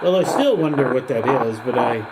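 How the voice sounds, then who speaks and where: Older guy in his 60s, mid voice, just musing about old memories, real loud radio hiss and hum behind him. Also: medium pitch, very animated, brisk. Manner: nostalgic, musing. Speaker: shortwave radio hobbyist. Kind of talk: casual reminiscing monologue. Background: shortwave radio noise and droning signals.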